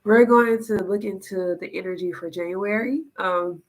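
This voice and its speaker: A woman's voice: mid-range voice, moderate pace. Chatty congested woman reading tarot. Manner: chatty, keeps asking "all right?" and "okay?". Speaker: tarot reader. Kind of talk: casual tarot reading to camera.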